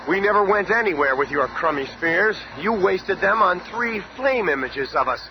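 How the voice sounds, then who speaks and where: An actor playing a brash, cocky young superhero in a 1970s radio drama, with flippant, boastful wisecracks. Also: male voice, high-pitched, animated, moderate pace. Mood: cocky, teasing. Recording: noisy vintage radio broadcast recording.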